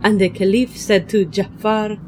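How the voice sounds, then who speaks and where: Lady as arabian tales storyteller, mid pitch, formal old-timey narration for audio drama, bit of background sound. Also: level tone, moderate pace, warm tone, slight background noise, close to an everyday voice. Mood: measured storytelling. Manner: formal, archaic phrasing.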